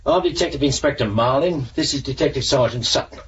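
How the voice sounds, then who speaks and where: An actor playing a polite police inspector patiently pressing for answers in a radio drama. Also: male voice, mid-range voice, fast talker, slightly rough, far from the mic, dull audio.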